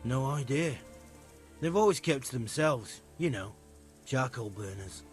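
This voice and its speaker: A man acting a lively, hesitant medieval villager. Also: medium pitch, measured pace, soft background music, natural voice. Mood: confiding, helpful. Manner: hesitant, earnest, folksy. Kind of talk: conversational video game acting.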